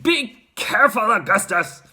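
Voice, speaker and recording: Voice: medium pitch, huge pitch swings, unhurried, bright, forceful tone, pitched above the performer's own voice, exaggerated voice. A storybook reader voicing a grumbling, self-regarding father who protests in exclamations. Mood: protesting.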